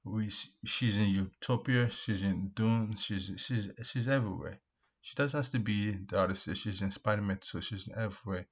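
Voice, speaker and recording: Male video commentator, lowish and raspy, emphatic but halting, repeats points, dull lo-fi sound. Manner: repetitive, emphatic, halting with restarts. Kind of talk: casual opinion commentary, reacting to comments.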